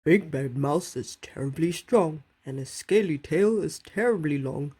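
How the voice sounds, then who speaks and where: Woman doing a big slow storybook monster dad, grave and ominous, husky voice. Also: low voice, warm tone. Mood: ominous warning. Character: big slow father monster, gravely warning his child.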